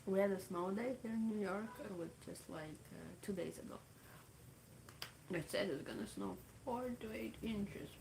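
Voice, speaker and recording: A woman with a Russian accent, a native Russian speaker, chatting casually on a livestream in a halting way full of fillers. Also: medium pitch, vocal fry, noisy background. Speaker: livestream host.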